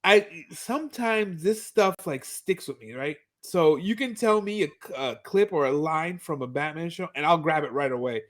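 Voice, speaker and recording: A man's voice: a little high, animated, moderate pace, hardly any pauses. A man co-hosting a fan podcast, casually recapping and commenting, often repeating words as he searches for phrasing. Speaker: co-host of a fan rewatch podcast.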